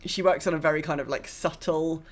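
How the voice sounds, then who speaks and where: A woman in her mid-forties co-hosting a podcast, chatting casually in a rambling, self-deprecating and expressive way. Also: deep voice, fairly quick. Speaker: podcast co-host. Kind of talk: casual chat.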